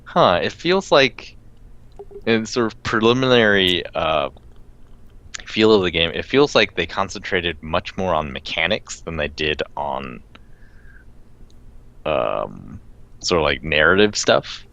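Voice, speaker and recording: A man's voice: sits low, lively intonation, slow pace, vocal fry, long pauses, sharp tone, dull audio. A man co-hosting a podcast, chatting casually about video games, rambling with frequent laughs. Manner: rambling, laughs often, many restarts. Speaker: podcast co-host. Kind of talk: casual gaming chat.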